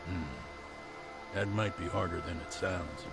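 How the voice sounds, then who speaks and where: A man's voice: sits low, steady tone, unhurried. A man playing a sober, seasoned senior lawyer in a video game, blunt and cautionary in delivery. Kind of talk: English game dub dialogue. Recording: music behind.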